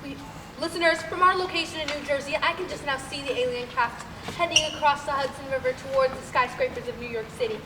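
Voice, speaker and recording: A woman's voice: high-pitched, steady tone, fast talker, natural voice. A woman playing a solemn, overwrought radio announcer with grave, dramatic delivery in a stage radio play. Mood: solemn dread. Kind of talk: mock 1930s radio broadcast acting on stage. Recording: distant echoey hall, clear noise.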